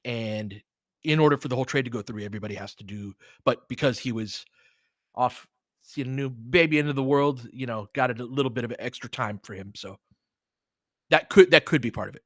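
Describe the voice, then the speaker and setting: Casting: American male sports host, mid-pitched, conversational and emphatic live analysis, dry studio-like sound. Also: fairly quick, no echo. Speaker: sports show host and game commentator. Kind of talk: casual live basketball analysis, chatting with listeners.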